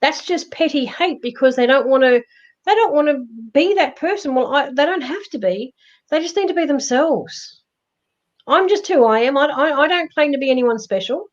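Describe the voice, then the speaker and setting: A woman with a loud voice, giving emphatic, reassuring life advice in a casual live-stream chat. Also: high-pitched, expressive, moderate pace. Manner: emphatic, reassuring, repeats herself. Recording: dull lo-fi stream audio.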